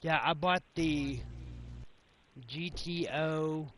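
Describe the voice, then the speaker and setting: A man's voice: fairly high pitch, fairly even intonation, extremely slow, a bit bright, a little hiss or hum. A man chatting casually while recording a game, stammering and repeating words, with the sniffles. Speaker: gamer recording gameplay. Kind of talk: casual chat.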